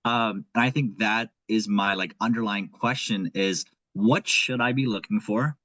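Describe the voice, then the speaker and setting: A young man with a Nepali accent, talking as a guest in an earnest, thinking-aloud way. His voice sits fairly low. The sound is close and dry. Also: fairly even intonation, unhurried, pushed and bright, dry recording. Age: young adult. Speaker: guest, aspiring investor. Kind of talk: casual conversation.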